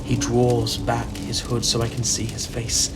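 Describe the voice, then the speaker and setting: An actor playing a terrified narrator in a gothic horror audio drama, speaking haltingly and stammering with dread. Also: male voice, medium pitch, monotone, noisy background, natural voice. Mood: hushed dread. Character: terrified male narrator summoned to an ancient family rite.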